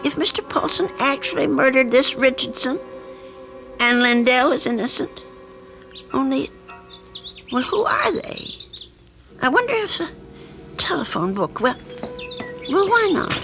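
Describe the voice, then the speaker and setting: Flustered old lady, anxious and hesitant, swoopy highish voice, old radio play. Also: animated, a little slow, creaky, pauses often, over music, heavily acted. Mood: anxious wondering. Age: older. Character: anxious, fretful elderly landlady, reluctant but conscientious. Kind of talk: old radio drama acting. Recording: vintage radio drama recording.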